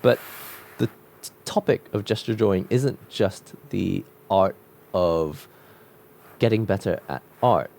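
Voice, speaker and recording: A man with a cold-croaked voice talking casually and reflectively while drawing, musing with loose restarts. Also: sits low, takes it slowly, pauses often, a little mellow, slight background noise. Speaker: art vlogger drawing on camera.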